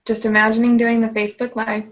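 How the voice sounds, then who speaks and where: A woman's voice: fairly high pitch, completely flat delivery, fast, muffled recording. A woman client repeating tapping phrases after her coach and hesitantly describing her nervousness. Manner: hesitant, searches for words.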